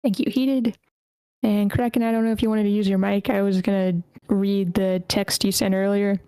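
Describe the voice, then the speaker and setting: A woman's voice: mid-range voice, little pitch movement, normal speed, mellow, smooth, no room sound. A woman hosting an online feedback session, casually moderating and reading messages aloud. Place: online video meeting.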